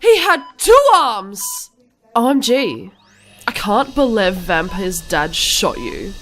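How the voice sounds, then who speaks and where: A woman's voice: medium pitch, sing-song, slow pace, fairly bright tone, faint music behind. A woman playing a self-dramatising teenage goth girl, melodramatically narrating her own story in a scripted cartoon parody. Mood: shocked. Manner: melodramatic, reads typos and numbers literally.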